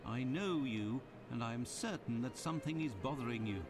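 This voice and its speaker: An actor playing a fussy, talkative doctor companion in a video game. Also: male voice, medium pitch, normal speed, natural voice. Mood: chatty. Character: chatty loyal male doctor companion. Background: game music.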